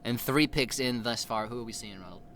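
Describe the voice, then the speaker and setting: Young guy casting a game match, jokey and hyped, mid pitch, bit of noise. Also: fairly quick, fairly bright tone. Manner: playful, hypes the chat. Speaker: esports caster. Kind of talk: casual play-by-play commentary. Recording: faint background noise.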